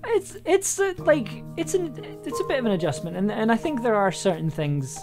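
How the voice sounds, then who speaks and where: A woman chatting casually on a livestream as she writes aloud, halting and repeating herself as she thinks. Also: fairly high pitch, very animated. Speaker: audio drama writer and live streamer. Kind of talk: casual livestream chat while writing aloud. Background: music.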